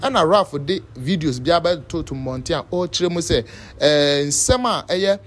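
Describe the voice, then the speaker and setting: Higher-voiced Ghanaian man presenting news. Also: expressive, a little slow. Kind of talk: informal news commentary mixing local language and English. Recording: faint background noise.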